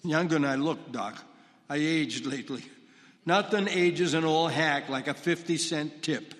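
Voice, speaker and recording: An actor with a Brooklyn accent playing a gruff, complaining middle-aged cab driver on a church stage. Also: male voice, fairly high pitch, unhurried, a bit bright. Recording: echoey hall.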